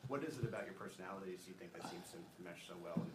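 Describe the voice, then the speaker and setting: A male reporter asking stumbling, repetitive questions at a press conference. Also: low-pitched. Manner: rapid, stumbling questions with repeated words. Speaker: sports reporter. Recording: press room with crowd chatter.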